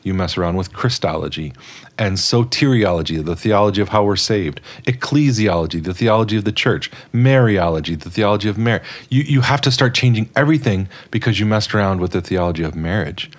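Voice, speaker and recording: A man with a low voice co-hosts a religious podcast. He talks reflectively, in an explanatory way, laying out his points one after another. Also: lively intonation, fairly quick. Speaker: podcast co-host.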